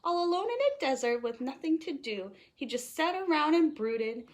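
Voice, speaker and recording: A woman reading a rhyming children's picture book aloud in an expressive, playful, sing-song way. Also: sits high, normal speed. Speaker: storytime reader.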